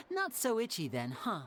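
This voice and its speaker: A man's voice: very high-pitched, a bit bright, a little hiss or hum, full character voice. A man voicing a suave, playful young stage magician in a video game, with a polished, courteous, theatrical delivery. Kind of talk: English video game voice acting.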